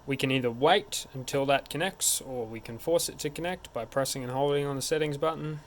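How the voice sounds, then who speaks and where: A man's voice: mid-range voice, a little fast. A man narrating a hands-on technical tutorial in an informal, step-by-step way. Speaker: tech support instructor. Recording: clear background noise.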